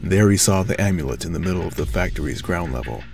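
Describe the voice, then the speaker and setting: A man's voice: sits low, animated, fast talker. A man reading scripted story narration as the narrator of an audio drama. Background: music.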